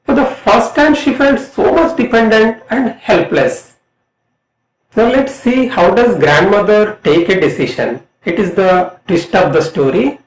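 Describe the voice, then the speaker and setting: A middle-aged man with an Indian accent narrates a lesson to students as a college English lecturer, in an emphatic, measured way. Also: high-pitched. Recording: echoey room sound.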